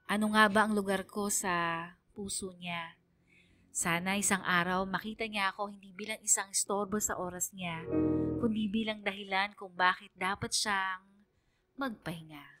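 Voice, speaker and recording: Lonely student girl, acted by a woman, wistful, confiding, radio drama narration, medium pitch, soft music behind. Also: steady tone, moderate pace, natural voice. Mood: plaintive, hurt. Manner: plaintive, confiding. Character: lonely, wistful female student longing for her mother. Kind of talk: dramatised first-person letter narration.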